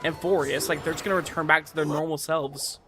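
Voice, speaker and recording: A man's voice: a little high, steady tone, a little fast, music in the background. A man streaming a game with casual chat commentary, rambling along with lots of filler words. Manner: rambling, filler-heavy, frequent "like".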